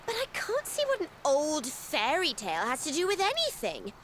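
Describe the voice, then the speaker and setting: A woman's voice: high-pitched, wildly swooping pitch, normal speed, a bit bright, slight background noise, exaggerated voice. An actress playing a young woman adventurer from a video game who scolds and hurries others impatiently.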